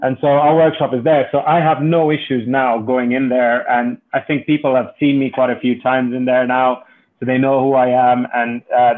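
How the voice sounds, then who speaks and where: A man from Kenya giving earnest, halting, conversational interview answers as an entrepreneur and guest. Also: medium pitch. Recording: thin phone-like call audio.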